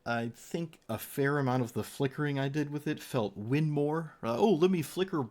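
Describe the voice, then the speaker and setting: A man's voice: on the high side, normal speed, darkish tone. Male streamer, conversational unscripted game commentary, opinionated asides, slightly tired voice. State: voice getting tired, losing it. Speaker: streamer reviewing card game decks.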